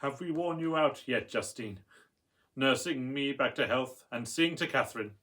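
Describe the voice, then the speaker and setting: A man playing a kind, convalescent gentleman in a radio play, speaking softly and with sympathy. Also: medium pitch, moderate pace, natural voice. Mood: fond, gentle.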